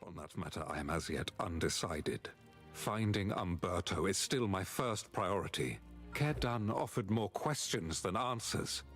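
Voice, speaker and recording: An actor playing an earnest, dutiful knightly warrior in a fantasy video game, speaking formally and gravely. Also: male voice, low voice, lively intonation, a little slow, a bit bright, soft background music, exaggerated voice. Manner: formal, declamatory.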